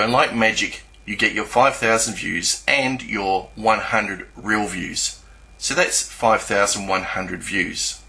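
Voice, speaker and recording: A man giving a scripted explanatory narration in a measured, didactic way, spelling out each step. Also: lowish voice, bright, forceful tone. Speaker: YouTube channel narrator.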